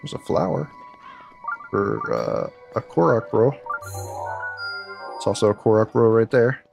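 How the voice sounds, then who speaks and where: A deep-voiced man with some gravel in his tone talks to his live chat in a relaxed, candid way while gaming. He sits close to the mic, and game music plays clearly beneath his voice. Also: unhurried, highs cut off. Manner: candid, laid-back, talks to chat between game moments. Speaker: game streamer. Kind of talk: casual live-stream chat while playing. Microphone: close desk mic. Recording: game music audible under the voice.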